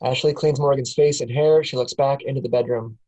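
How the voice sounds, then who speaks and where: A woman reads a screenplay's stage directions aloud at a casual table read. Her tone is mellow and dark, and she keeps breaking into laughs as she reads. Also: extremely low pitch, fairly even intonation, fairly quick, dull audio. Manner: laughs often while reading. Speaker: narrator reading stage directions.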